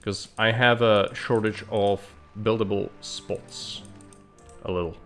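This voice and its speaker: A man's voice: on the low side, steady tone, slow, a bit bright, faint music behind. A man streaming live, thinking aloud in dry, blunt remarks as he plans a city build. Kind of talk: live gameplay commentary.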